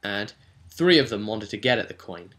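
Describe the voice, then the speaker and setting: A man casually recapping a tabletop roleplaying session as game master, telling it in an animated storytelling way. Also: fairly low pitch. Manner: animated storytelling, slipping into quick character quotes.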